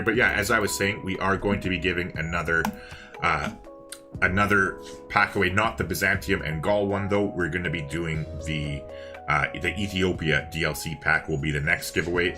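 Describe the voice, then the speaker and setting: A man with a very low yet bright, forward voice co-casts a game livestream. He talks casually to his chat, thinking out loud as he goes, with music playing behind him. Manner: chatty, thinking aloud to viewers and chat. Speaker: co-host of a livestreamed multiplayer strategy game. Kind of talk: casual live stream co-casting.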